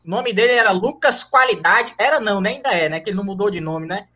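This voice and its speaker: A man with a Ceará accent speaks in a bright, ringing voice that sits a bit high for a man. He tells the story of his running group casually and jokingly, full of slang and tag questions. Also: fast. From Rio de Janeiro, raised in Ceará. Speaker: founder of a running humor group. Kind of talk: casual interview over a call.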